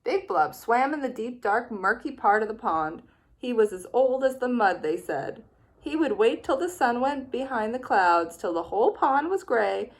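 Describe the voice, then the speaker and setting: A woman teacher reading a children's picture book aloud with expressive, playful storytelling. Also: fairly high pitch, normal speed.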